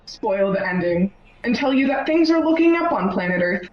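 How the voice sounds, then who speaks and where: A woman's voice: fairly high pitch, sing-song, normal speed, muffled recording. A woman playing a frank doctor, roomy sound. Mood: reassuring. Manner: explanatory. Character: frank, optimistic female doctor from Earth. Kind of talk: radio play acting.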